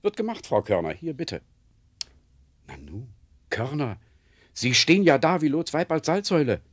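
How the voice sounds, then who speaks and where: Radio-play editor, middle-aged, theatrical and teasing, very animated, dry close sound. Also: male voice, on the high side, normal speed, no room sound. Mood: breezy, jovial. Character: jovial, bossy male magazine editor, middle-aged. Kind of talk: German radio play acting.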